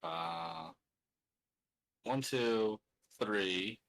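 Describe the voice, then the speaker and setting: A man's voice: fairly low pitch, drawn-out words, a bit bright. A man casually narrating his own moves in a tabletop card game, muttering and counting aloud as he thinks. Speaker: card game player and streamer.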